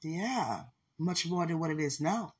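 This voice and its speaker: A woman with a rather low, husky voice hosts an informal faith podcast. She rambles in an unscripted way and addresses the listener intimately, like someone dear to her. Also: lively intonation, measured pace, darkish tone. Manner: speaks intimately, as if to a loved one. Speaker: podcast host.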